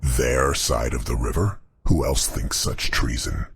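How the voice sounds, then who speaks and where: A man voicing Satan as an enraged, paranoid tyrant demon king with a gravelly voice, speaking slowly and imperiously in an audiobook. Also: no echo, full character voice. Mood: menacing. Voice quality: very deep, gravelly, husky. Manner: slow, imperious, threatening.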